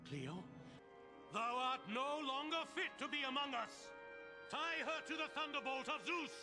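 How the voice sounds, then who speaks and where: A man's voice: very high voice, animated, unhurried, music in the background, full character voice. An actor playing a deranged, grandiose tycoon who believes he is Zeus, speaking in bombastic, archaic theatrical language in a cartoon. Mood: furious. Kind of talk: animated superhero series acting.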